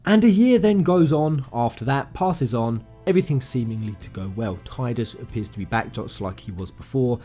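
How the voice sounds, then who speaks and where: A man's voice: mid-range voice, lively intonation, moderate pace, hardly any pauses, mellow, faint music behind. A man giving an informal explainer commentary on video game lore, talking conversationally and emphatically to his viewers. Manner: conversational, addresses viewers as guys, emphatic phrasing.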